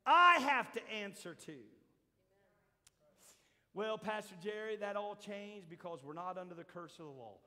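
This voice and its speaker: An older man preaching a sermon as pastor to his church, shifting from quiet asides to shouted points. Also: sits high, lively intonation, a little slow, long pauses. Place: large church hall with a seated congregation. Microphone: handheld mic, roaming the stage. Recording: long hall reverb.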